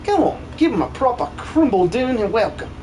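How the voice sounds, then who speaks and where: A man voicing a brash, bossy but warm-hearted young woman miner in a video game fan dub, blunt and slangy. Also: very high-pitched, big pitch swings, a little fast, faint music behind, low-quality recording. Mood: teasing.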